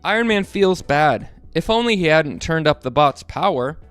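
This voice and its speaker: A man reading a storybook aloud to children in a playful, animated way, asking them to join in. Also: on the high side, moderate pace, smooth, no room sound, soft background music.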